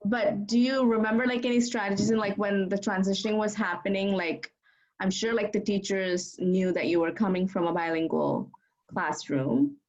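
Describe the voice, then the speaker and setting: A young woman asks interview questions in a friendly, encouraging tone, filling her speech with casual fillers. Her voice sits at a middle pitch. The recording sounds dull and lo-fi. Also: steady tone, normal speed, a little mellow. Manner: friendly, encouraging, many fillers like "like" and "you know".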